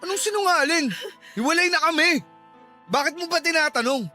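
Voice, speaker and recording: High-pitched defensive cheating boyfriend. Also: male voice, fairly quick, pushed and bright, faint music behind, full character voice. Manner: defensive, insistent. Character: cheating male lover, defensive, pleading, manipulative. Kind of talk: radio drama acting.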